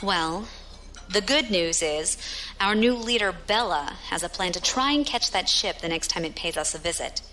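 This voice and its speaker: Bright-voiced, dry-witted space pirate. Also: female voice, fairly low pitch, lively intonation, normal speed. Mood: grim, wry. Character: tough, dryly humorous female space pirate. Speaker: voice actor. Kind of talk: science fiction audio drama dialogue.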